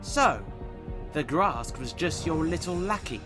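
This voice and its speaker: A man's voice: on the high side, animated, unhurried, music in the background. A man playing an inquisitive, wary teenage boy sidekick, casual and questioning, in an audio drama. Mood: challenging. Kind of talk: fan audio drama acting.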